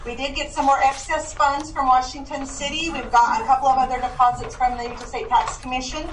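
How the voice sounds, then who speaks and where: High-voiced woman giving the finance report over a call speaker, brisk and businesslike, sounding distant in a reverberant room. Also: little pitch movement, slight background noise. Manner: brisk, matter-of-fact, reads figures. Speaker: finance officer giving an update. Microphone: heard through a call speaker in the room. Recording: distant, echoey call audio.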